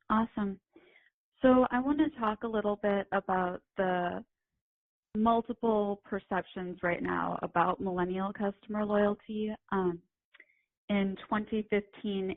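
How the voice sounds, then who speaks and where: A woman's voice: medium pitch, no echo. Young woman explaining over a phone line. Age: young adult. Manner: measured, explanatory, with ums. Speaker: guest, retail writer and editor. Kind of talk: casual interview chat.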